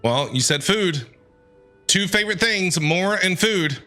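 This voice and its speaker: A male game streamer with a high, bright voice and slow, drawn-out pacing. He reacts casually and playfully, talking back to characters at a close mic. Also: lively intonation. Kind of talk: casual reactive gameplay commentary. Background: game music.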